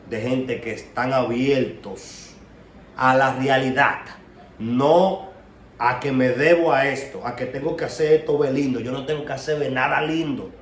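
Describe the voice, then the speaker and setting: Young Dominican man ranting in echoey room. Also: mid-range voice. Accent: Dominican Spanish. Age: young adult. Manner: emphatic, confrontational, slangy. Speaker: online commentator. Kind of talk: opinionated casual rant to camera. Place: small room at home, indoors. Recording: roomy echo, faint background noise.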